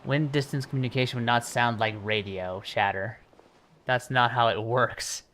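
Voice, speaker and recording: A man's voice: medium pitch, steady tone, moderate pace, a bit bright, a little hiss or hum. A man giving informal live commentary as a video game streamer, reacting aloud and repeating himself. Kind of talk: casual live gameplay commentary. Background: video game music.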